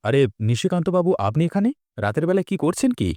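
A man's voice: on the high side, very animated, quick pace, dark tone, no echo, studio quality. A man voicing an anxious, suspicious village man in a cartoon, speaking earnestly and broodingly. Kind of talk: dubbed cartoon dialogue.

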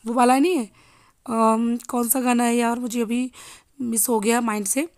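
A woman in her thirties with a hoarse voice, chatting casually to viewers in a home vlog, filmed on a handheld phone. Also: a little high, fairly even intonation, moderate pace, a little mellow, no room sound, studio quality. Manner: chatty, addressing viewers directly. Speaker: vlogger. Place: home kitchen and living room. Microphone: handheld phone selfie.

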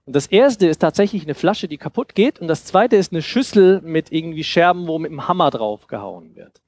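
A man in his thirties giving an informal, explanatory workshop talk into a headset mic, often halting and repeating words. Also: fairly high pitch, lively intonation, darkish tone, no room sound. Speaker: speaker giving a talk on sound design.

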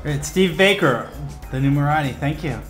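The man who hosts a company's web interview series, fairly high voice over a music bed. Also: lively intonation, moderate pace. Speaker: interviewer, host. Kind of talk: interview questions.

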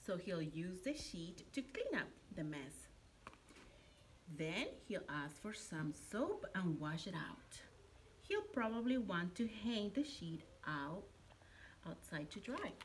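A middle-aged woman reading a picture book aloud to young children in an expressive, deliberate storytelling manner, like a preschool teacher. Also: fairly low pitch, rough recording. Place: indoor classroom. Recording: noticeable steady room background noise.

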